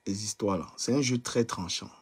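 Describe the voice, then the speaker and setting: Husky man giving blunt gossip commentary. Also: lowish voice, normal speed, a little mellow. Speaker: commentator on a live stream.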